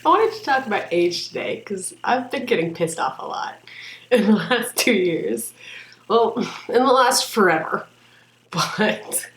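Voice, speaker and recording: A woman in her early twenties ranting casually to the camera, animated and frank, laughing often between sentences. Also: medium pitch, unhurried. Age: 20s. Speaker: vlogger. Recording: echoey room.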